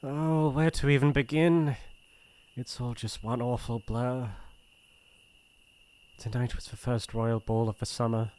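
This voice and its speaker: A shy young crown prince acted by a man with a British accent, in a fantasy ASMR roleplay. He speaks in formal, courtly phrases with a gentle, earnest manner. His delivery is hesitant and easily flustered, at an ordinary male pitch. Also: fairly even intonation, unhurried, lightly acted. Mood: downcast.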